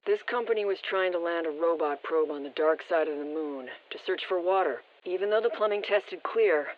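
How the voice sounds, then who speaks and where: A woman soldier heard over a muffled radio link in a shooter game. She delivers field intelligence in a matter-of-fact military way. Her voice sits at a medium pitch. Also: brisk. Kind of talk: scripted video game intel report. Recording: muffled, band-limited radio transmission.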